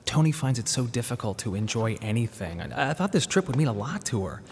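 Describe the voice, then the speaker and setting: A man's voice: medium pitch, very animated, vocal fry. An actor playing a grieving, restless young father, earnest, awkward and reflective in a radio drama. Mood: wistful, awkward. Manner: halting, reflective. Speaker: narrator and protagonist. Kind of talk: radio drama narration and dialogue. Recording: reverberant hall, faint background noise.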